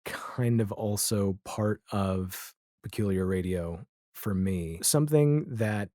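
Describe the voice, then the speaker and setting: A man talking casually as a podcast guest, animated and rambling, with a self-deprecating streak. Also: on the low side, slow pace, dry recording, clean studio sound. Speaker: podcast guest, audio drama creator. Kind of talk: casual podcast interview chat.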